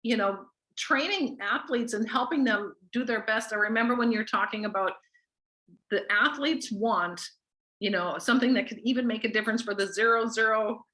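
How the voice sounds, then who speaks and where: A woman's voice: fairly high pitch, moderate pace, sharp tone, low-quality recording. A woman casually hosting a group call, introducing things in an enthusiastic, gushing manner. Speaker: host of a weekly company group call.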